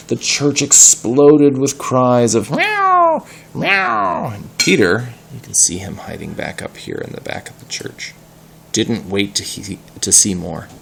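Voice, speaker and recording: A man reading a story aloud in an animated style, pausing to explain words and ask questions. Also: deep voice, vocal fry, a little hiss or hum.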